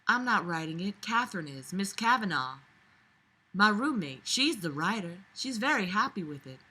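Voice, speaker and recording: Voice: medium pitch, very animated, normal speed, pushed and bright, breathy edge, full character voice. A woman voicing a shy, flustered young female shop clerk from an audiobook-style novel reading.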